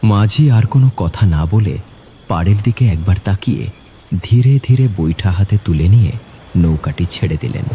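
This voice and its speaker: Deep, mellow male ghost story narrator. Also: expressive, moderate pace. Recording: noisy, with rain and thunder behind.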